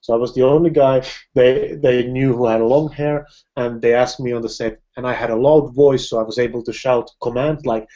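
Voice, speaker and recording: A man's voice: mid-range voice, fairly even intonation, mellow. Man chatting over a dull video call. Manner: halting, many restarts, laughs easily. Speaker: film director hosting a live video hangout. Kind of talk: casual video-call chat.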